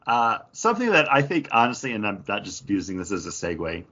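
Husky, animated American man. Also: on the low side, a little fast. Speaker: podcast co-host. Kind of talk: casual chat. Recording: dull lo-fi voice recording.

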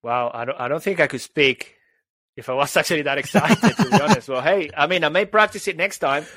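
A man's voice: fairly high pitch, a little fast, a bit bright, no room sound. A man from Venezuela, a public speaking coach, talking in an emphatic, motivational way on a casual podcast.

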